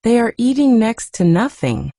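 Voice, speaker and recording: A woman reader plays a fretful storybook nurse, concerned and complaining. Her pitch moves about a lot, over a mellow tone. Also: big pitch swings, moderate pace, gravelly, no echo, clean studio sound, heavily acted. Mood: worried, baffled. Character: fretful, worried female nurse.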